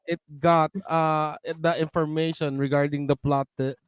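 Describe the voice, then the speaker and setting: A man's voice: fairly high pitch, little pitch movement, moderate pace, crowd noise. A middle-aged man co-hosting a casual panel discussion about video games. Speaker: gaming show host.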